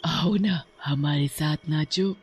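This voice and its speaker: A narrator voicing a pushy, eerily inviting headless female witch with an insistent, drawn-out delivery in a cartoon horror story. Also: medium pitch, slight background noise, natural voice.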